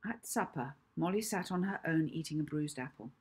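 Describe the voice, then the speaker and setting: A middle-aged woman reading her own children's story aloud, measured and expressive, with deliberate pauses. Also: sits low, husky. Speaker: author reading own book. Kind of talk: children's audiobook narration.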